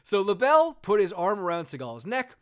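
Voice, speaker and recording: A man's voice: sits high, wildly swooping pitch, brisk, smooth. A man hosting a casual comedy podcast, talking sarcastically, swearing freely and using lots of fillers. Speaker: podcast host.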